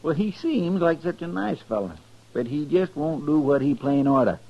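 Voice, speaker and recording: Folksy sidekick, creaky lively voice. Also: male voice, on the high side, moderate pace. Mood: worried, earnest. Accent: rural American. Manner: rustic drawl, homespun, deferential. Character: folksy, earnest, fretful marshal's sidekick. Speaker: actor in a radio western. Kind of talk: 1950s radio drama acting. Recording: dull 1950s radio recording.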